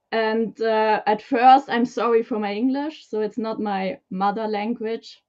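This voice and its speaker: A woman's voice: a little high, fairly even intonation, takes it slowly, a little mellow, highs cut off. A woman from Switzerland with a Swiss German accent, a guest talking about keeping reptiles, hesitant with many fillers. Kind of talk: live stream interview. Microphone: video call.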